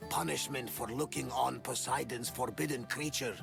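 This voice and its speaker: An actor playing a weathered, pious sea captain in a video game, talking dramatically with a gravelly voice and calling on the gods. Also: male voice, medium pitch, moderate pace, over music. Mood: ominous. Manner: dramatic, invokes the gods, bursts into laughs. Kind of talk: video game cutscene acting. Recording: game score under the voice.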